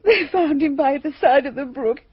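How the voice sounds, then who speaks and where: An actress playing an agitated, guilt-stricken society woman in a radio drama, halting and confessional. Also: sits high, close to an everyday voice. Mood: distraught, tearful. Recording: dull, boxy radio drama recording.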